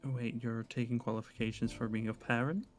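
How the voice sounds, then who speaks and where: A man playing a cheeky, pedantic wandering survivor, dry and smart-alecky with hesitant pauses, in an improvised game roleplay. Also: medium pitch, flat delivery, faint music behind, close to an everyday voice. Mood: indignant.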